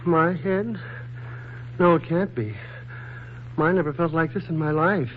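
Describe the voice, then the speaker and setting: An actor playing a groggy, hungover, flirtatious wisecracker with a hesitant, pleading manner in 1940s radio style. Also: male voice, a little high, a little slow, natural voice. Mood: groggy, puzzled. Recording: tinny, noisy 1940s radio recording.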